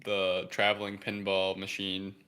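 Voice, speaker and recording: A man's voice: deep voice, monotone, unhurried, sharp tone, clean tone. A man chatting casually as a panelist on a review show.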